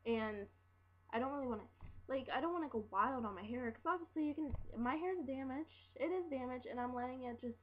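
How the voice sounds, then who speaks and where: A seventeen-year-old girl ranting casually to camera at home, rambling and halting with lots of fillers and restarts. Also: high-pitched. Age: teens. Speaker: vlogger. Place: room at home. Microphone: built-in laptop mic. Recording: dull lo-fi laptop audio.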